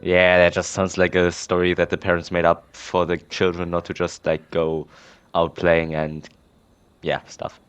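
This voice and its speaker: A man's voice: low-pitched, completely flat delivery, measured pace, smooth. A man giving casual, off-the-cuff game commentary in a sarcastic, incredulous manner. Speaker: gamer, playthrough commentator. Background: game music.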